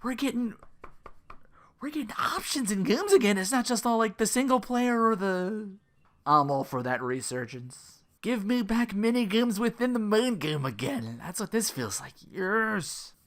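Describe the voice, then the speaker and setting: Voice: mid-range voice, measured pace. A podcast host giving casual solo commentary on video games, rambling and profane, often acting out reactions. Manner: rambling, animated asides, profane, acts out reactions.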